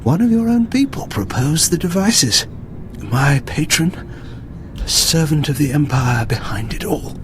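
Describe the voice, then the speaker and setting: An actor playing a defiant, grimly fanatical terrorist mastermind in a video game, theatrical and deliberate. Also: male voice, on the high side, lively intonation, a little hiss or hum.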